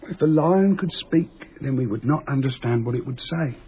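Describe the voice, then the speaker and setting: A tinny, slightly noisy radio drama recording of an earnest, simple working man played by a male actor. He rambles along in a middle-pitched voice, explaining things naively and matter-of-factly, as natural conversation. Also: animated, normal speed, lightly acted. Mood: matter-of-fact musing.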